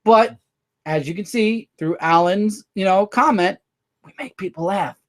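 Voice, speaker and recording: A man hosting a casual livestream, rambling through viewer comments with quick asides. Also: high voice. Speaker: livestream host.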